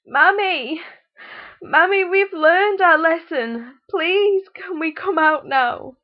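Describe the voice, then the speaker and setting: A woman's voice: extremely high pitch, slow pace, lo-fi sound, pitched above the performer's own voice. A woman voicing a little child in a storybook, wailing and begging. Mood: desperate pleading. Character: pleading little children locked away by mother.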